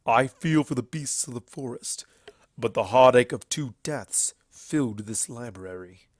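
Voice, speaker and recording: A man voicing a bitter, grieving, proud ancient stallion in a storybook reading, forceful and declamatory. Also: mid-range voice, animated, measured pace, no room sound, studio quality. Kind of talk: dramatic fan fiction character reading.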